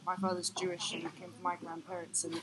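Thoughtful lower-voiced woman professor. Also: completely flat delivery, background noise. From Denmark. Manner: thoughtful, measured, many fillers. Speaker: professor, guest. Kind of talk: podcast interview. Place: tea room.

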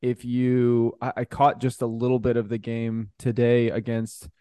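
A man chats casually as a football podcast co-host. His voice is clean and resonant at a middle pitch, and he feels his way through points, often repeating himself. The recording is dry. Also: monotone, measured pace, mellow, clear, resonant tone, no room sound.

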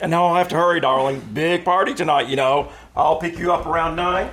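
An actor playing an adoring, earnest, slightly stuffy fiancé in an old-time radio play. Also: male voice, on the high side, fairly even intonation, fairly quick, fairly bright tone, a little hiss or hum, natural voice. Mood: adoring, soothing.

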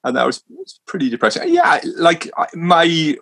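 A man's voice: fairly high pitch, huge pitch swings, normal speed, gravelly, a bit bright. A man raised in Wales, a minister, talking casually and hesitantly, with frequent restarts and small laughs. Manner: hesitant, many restarts, self-deprecating laughs. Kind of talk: casual conversation. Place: London.

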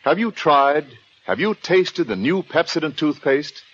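A man's voice: fairly high pitch, sing-song, measured pace, a bit bright, breathy edge. An American man announcing in polished, emphatic 1940s radio salesman style. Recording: muffled old 1940s radio recording, music behind.

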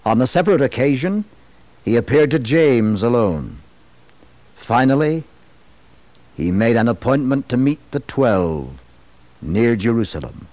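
Slow, solemn male radio narrator. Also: mid-range voice, sing-song, a little mellow, no room sound. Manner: solemn, measured, theatrical storytelling. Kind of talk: dramatized scripted narration, 1960s religious radio.

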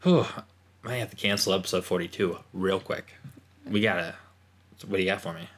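A man in his thirties with an Iowan accent, co-hosting a podcast with dry, deadpan joking. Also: low voice, a little fast. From Iowa, United States. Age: 30s. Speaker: podcast co-host. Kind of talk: casual chat.

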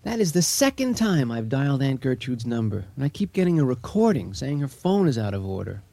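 Wry American husband, lively mellow voice. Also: male voice, medium pitch, fairly quick, vocal fry, dry recording. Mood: frustrated. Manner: wry, teasing, conversational. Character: joking, sceptical husband, tall and easygoing. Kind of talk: 1980 radio drama acting.

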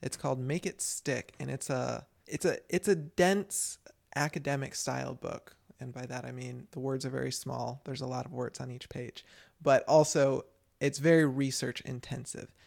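A man's voice: mid-range voice, fairly even intonation, normal speed, gravelly, darkish tone. A man co-hosting a developer podcast, chatting casually and rambling with frequent restarts. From United States. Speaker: podcast co-host. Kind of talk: casual chat.

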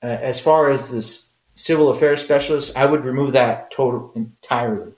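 A man's voice: mid-range voice, steady tone, normal speed, a little mellow, reverberant, low-quality recording. A middle-aged man with a Mexican accent, talking frankly and conversationally in a solo podcast, with rambling asides. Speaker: podcast host.

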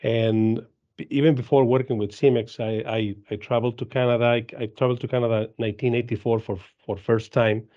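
A man in his fifties from Argentina with an Argentine Spanish accent, answering interview questions in halting English with many repeats and fillers. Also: mid-range voice, little pitch movement, mellow, highs cut off. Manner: halting, frequent repeats and "uh", laughs easily. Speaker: guest, dairy genetics business director.

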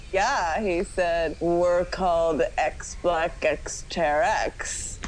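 A woman's voice: lowish voice, extremely slow, a little hiss or hum, low-quality recording. A woman giving a comic dramatic reading of fan fiction, often giggly as she goes. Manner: giggly, often breaking into laughter. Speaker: podcast host doing a dramatic reading.

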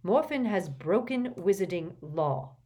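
A woman's voice: mid-range voice, sing-song, measured pace. Lady reading as a proper official wizard guy, polite but stern, storybook style. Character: formal, firm male government official wizard. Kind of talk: audiobook character voice.

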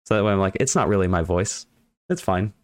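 A man hosting a casual interview, chatty and upbeat with quick reactions. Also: deep voice, smooth, no echo, studio quality. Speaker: podcast host.

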